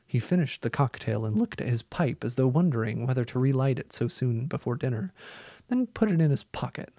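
A man's voice: a little fast, dry recording, studio quality. A man in his thirties reading a science fiction story aloud on a live stream, lifting his voice a little for the dialogue. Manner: steady reading, lifts his pitch for the woman's lines. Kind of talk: live reading of a novella, doing dialogue lightly. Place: small room with green screen, indoors. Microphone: studio mic on boom arm close to mouth.

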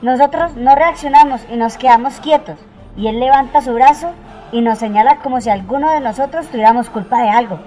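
A woman's voice: on the high side, brisk, warm tone, soft background music, close to an everyday voice, poor audio. A woman playing a boastful caller who tells a spooky story in a radio drama. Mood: boastful, suspenseful.

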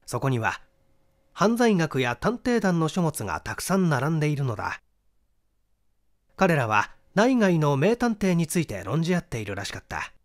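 A man's voice: a little high, sing-song, moderate pace. A man reading a mystery novel aloud as scripted literary narration. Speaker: audiobook reader.

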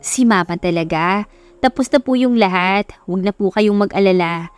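A woman's voice: medium pitch, moderate pace, clean tone, close to an everyday voice. A woman voicing an affectionate, respectful young woman in her early twenties in a dramatized love-story audiobook. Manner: respectful, gently teasing. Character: eager, polite twenty-two-year-old woman, affectionate daughter and new tutor. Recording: music under the voice.

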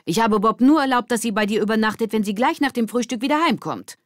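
A woman voicing a brisk, demanding, chatty mother in a children's audiobook. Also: fairly high pitch, expressive, no room sound, studio quality, pitched above the performer's own voice, natural voice. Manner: insistent, chatty.

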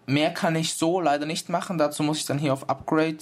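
A man's voice: a little high, fairly even intonation, fairly quick. Man casually demonstrating an app. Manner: informal, explanatory, step by step. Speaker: app reviewer, presenter.

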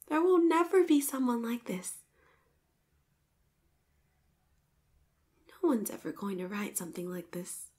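A woman's voice: fairly high pitch, a little mellow. A woman voicing a shy, flustered popular schoolgirl with a crush in a romantic roleplay, sweet but insistent, with bashful stammers and whispered asides. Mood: tender, shy.

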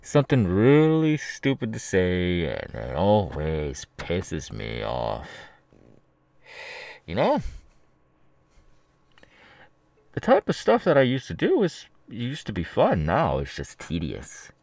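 A man plays a menacing ex-convict kidnapper in an ASMR roleplay, mocking his captive with a halting sneer. The voice is very deep and gravelly, drawn out slowly in a drawl. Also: huge pitch swings, darkish tone, no room sound, full character voice. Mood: irritated.

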